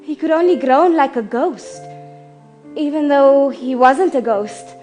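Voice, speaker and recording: A woman playing a shaken child who tells a story in an earnest, halting, repetitive and emotionally intense dramatic monologue. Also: high voice, expressive, darkish tone, faint music behind. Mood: urgent, distressed. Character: shaken child earnestly recounting a violent night at home.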